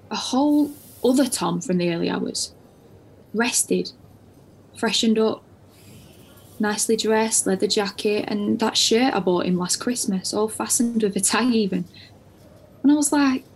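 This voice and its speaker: A woman's voice: fairly high pitch, slightly rough, rough recording. An actress playing a weary cafe waitress, telling her day in a blunt, earthy way. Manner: blunt, earthy, matter-of-fact. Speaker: cafe worker narrating. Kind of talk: first-person dramatic monologue.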